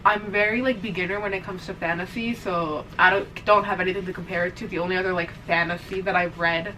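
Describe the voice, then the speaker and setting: A woman's voice: on the low side, fairly even intonation, fast, sharp tone. A young woman in a casual reading vlog, congested and teary, rambling emotionally with lots of "like" and "literally.". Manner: emotional, rambling, swears, says "like" and "literally" often. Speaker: reading vlogger. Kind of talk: casual vlog chat to camera. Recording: distant mic with clear background noise.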